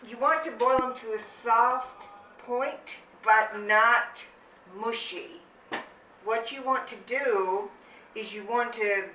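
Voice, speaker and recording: A woman's voice: medium pitch, bright, forceful tone, a little hiss or hum. An older woman from Germany hosting a home cooking channel, explaining a family recipe step by step in a chatty, homey way. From Germany, Rhineland-Palatinate. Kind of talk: casual cooking demonstration. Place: home kitchen. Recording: echoey room, voice from a distance.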